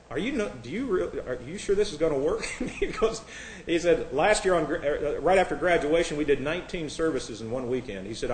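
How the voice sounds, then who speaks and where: A man from Indiana delivers a sermon in an echoey sanctuary, his voice sitting a little high for a man. He talks to the congregation like old friends, wandering into jokes and asides. When he reads scripture, he switches to a raised, formal voice. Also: a little hiss or hum. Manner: conversational preaching with asides and self-deprecating jokes. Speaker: pastor. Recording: echoey room.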